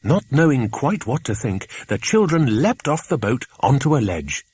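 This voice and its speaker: Guy reading a kids adventure story, expressive, lots of pitch movement, a bit rough-edged. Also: normal speed. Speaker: audiobook narrator. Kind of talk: scripted children's adventure narration.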